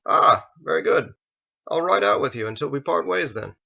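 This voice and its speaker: Man reading as a courteous, sharp-voiced younger man. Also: fairly high pitch, sing-song, moderate pace, gravelly, pitched above the performer's own voice, full character voice. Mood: courteous, restrained. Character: polite, reserved younger man, dryly brooding. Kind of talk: acted audiobook dialogue. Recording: dull lo-fi recording.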